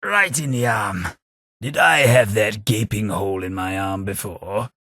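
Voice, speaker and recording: An actor playing a sardonic, well-spoken tithe collector in a video game, with a husky, understated delivery and dry humour. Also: male voice, lowish voice, unhurried, pushed and bright, dry recording, exaggerated voice. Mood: wry. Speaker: game companion character. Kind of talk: game voice lines.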